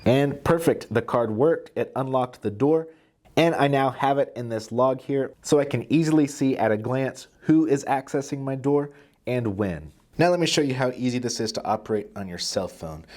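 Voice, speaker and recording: A man walks through a product demonstration in an easygoing, step-by-step style. His voice sits at a medium pitch, and he explains each feature in plain, practical terms. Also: expressive, moderate pace, darkish tone. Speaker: tutorial presenter.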